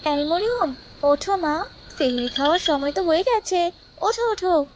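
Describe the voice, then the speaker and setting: A woman's voice: very high voice, expressive, moderate pace, dull audio, slight background noise. A woman voicing a doting, affectionate mother in a moral cartoon, coaxing and tender.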